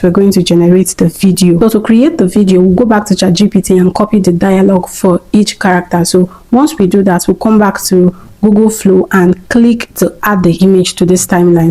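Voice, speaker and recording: A woman hosting a screen-recorded software tutorial, calmly explaining each step in order. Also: medium pitch, rough recording. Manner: calm, step-by-step instructing. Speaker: YouTube tutorial host.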